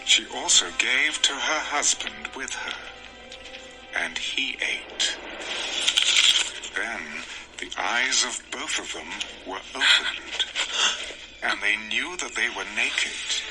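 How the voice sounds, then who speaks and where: A man narrating scripture from a script in a measured storytelling manner. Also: on the low side, rough recording.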